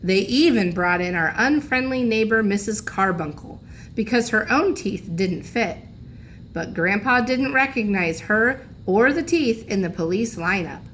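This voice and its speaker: Lady teacher reading a kids book, takes her time, expressive, some noise behind her. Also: medium pitch, unhurried. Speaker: teacher, intervention specialist reading aloud. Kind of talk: children's storybook read-aloud. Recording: background noise in room recording.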